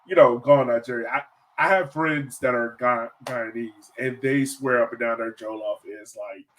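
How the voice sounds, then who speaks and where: A man's voice: mid-range voice, normal speed, a bit bright, low-quality recording. An American man interviewing a guest as podcast host in informal, slangy talk with plenty of "man" and "yo". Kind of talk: casual interview chat.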